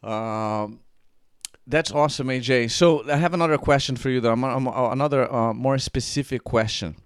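A man's voice: medium pitch, moderate pace, clear, resonant tone, no room sound, studio quality. A man from Brazil, hosting a casual podcast interview with lots of enthusiasm and restarts. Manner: enthusiastic, many restarts and fillers. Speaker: podcast host.

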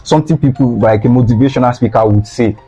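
A man's voice: medium pitch, quick pace, dark tone, husky, soft background music. A young man with a Nigerian accent, a student guest talking earnestly and repeating key phrases for emphasis. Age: young adult. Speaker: guest, student sweet seller. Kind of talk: casual interview talk. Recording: slightly dull lo-fi sound.

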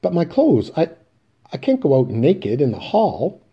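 A man's voice: on the high side, wildly swooping pitch, measured pace, breathy edge, muffled recording, pitched above the performer's own voice, exaggerated voice. A man voicing a brassy, flirtatious woman in a play reading, pleading and then indignant. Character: flirtatious, brassy adult woman in hotel room.

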